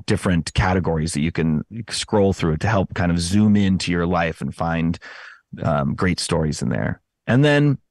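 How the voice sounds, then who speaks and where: A man giving casual, conversational interview answers, often pausing and correcting himself as he finds his words. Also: low-pitched, darkish tone, dry recording, clean studio sound. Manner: hesitant phrasing, self-correcting, chatty. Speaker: podcast host appearing as interview guest.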